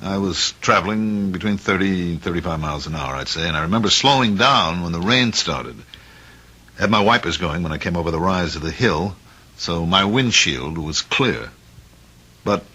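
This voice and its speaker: An actor playing an earnest driver testifying in a radio drama, careful and explanatory. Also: male voice, low voice, pushed and bright, husky, heavily acted. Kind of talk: old-time radio drama acting.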